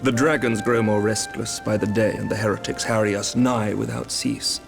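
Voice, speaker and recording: An actor playing a shrewd, composed middle-aged knight commander who speaks formally and measuredly in archaic diction. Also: male voice, fairly low pitch, steady tone, normal speed, vocal fry, over music. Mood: calm, diplomatic. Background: orchestral game score.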